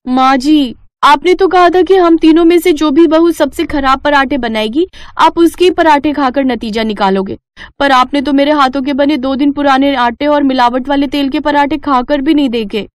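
A woman's voice: high voice, moderate pace, no echo, natural voice. A woman voicing a greedy, pushy grown daughter-in-law in a cartoon moral story, complaining and eager. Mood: protesting. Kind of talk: animated moral story dialogue.

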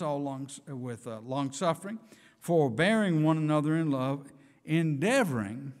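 A man's voice: fairly high pitch, darkish tone. A man in his sixties preaching an earnest, emphatic sermon from a church pulpit, reading scripture aloud. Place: church sanctuary, speaking from a pulpit. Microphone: pulpit microphone. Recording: church hall reverb.

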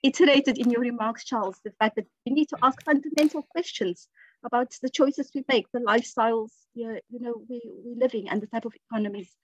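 Higher-voiced woman on a dull dry call. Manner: measured, advocating. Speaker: panelist. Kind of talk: online panel discussion.

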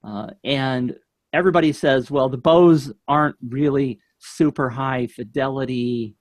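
A man's voice: medium pitch, measured pace, no echo. Middle-aged man, dry close-miked podcast voice. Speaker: podcast co-host and guest. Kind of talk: casual chat, product review. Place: home office indoors. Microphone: studio microphone on shock mount, close up.

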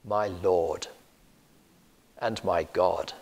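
Slow gravelly older preacher. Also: male voice, low-pitched, steady tone, darkish tone. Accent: British English. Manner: slow, measured, earnest preaching. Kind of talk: sermon. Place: church sanctuary, indoors. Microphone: lapel mic. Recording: long church reverb.

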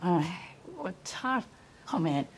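A woman's voice: fairly low pitch, takes it slowly, slight background noise. A woman playing a wisecracking young female superhero in a video game, quippy and earnest. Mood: earnest, tired. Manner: quippy, animated. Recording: voice with music behind.

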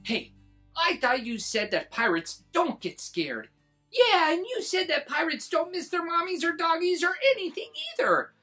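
A man puts on a small kindergarten child from a picture book. The child sounds anxious but plucky, with a very animated pitch. Music plays underneath. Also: moderate pace, full character voice. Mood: questioning.

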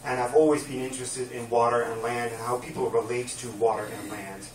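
A man's voice: medium pitch, little pitch movement, fairly quick. A man in his fifties with a Dutch accent gives an earnest talk to a small audience, explaining things carefully with many fillers. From Netherlands. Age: 50s. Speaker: guest speaker. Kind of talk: public lecture. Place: small dim room with a projection screen, live audience. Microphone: distant camera mic. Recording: roomy, distant camera mic, faint room noise.

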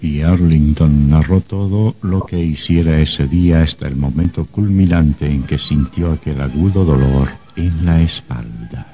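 An actor playing a grave storyteller, narrating a scripted radio drama. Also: male voice, a bass voice, unhurried, few pauses, dark tone. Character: grave, unhurried male storyteller of eerie tales. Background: music.

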